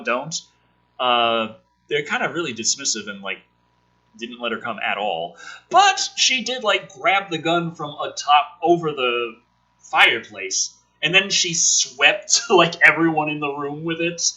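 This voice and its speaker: A man's voice: a little high, sharp tone, dull audio. A man reading a script aloud with sarcastic, exasperated, foul-mouthed commentary as the host.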